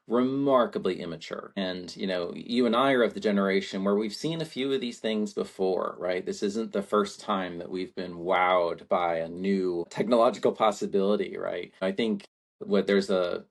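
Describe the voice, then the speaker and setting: A man's voice: low voice, moderate pace, creaky. A man in his forties, a podcast guest who grew up in Latvia, talking in a thoughtful, rambling way and often checking in with a tag question. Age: 40s. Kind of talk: reflective conversation.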